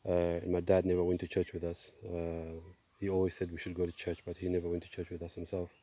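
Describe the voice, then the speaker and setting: A middle-aged man giving a personal testimony outdoors, speaking in a halting, measured way with pauses. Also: low-pitched, completely flat delivery, mellow. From Zimbabwe, born in Zambia. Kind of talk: personal testimony, casual monologue. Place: outdoors, on a walk.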